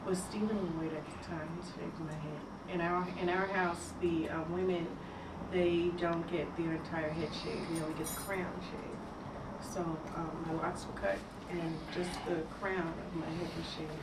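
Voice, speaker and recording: A woman talking about her own initiation in a group interview, halting, with many pauses and fillers. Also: on the low side, level tone. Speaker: interviewee, priestess. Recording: echoey room, distant mic, loud noise.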